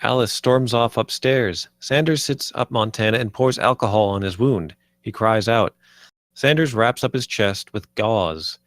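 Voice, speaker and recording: A man's voice: fairly low pitch, unhurried, fairly bright tone, dry recording. A man reading stage directions as narrator at a screenplay table read.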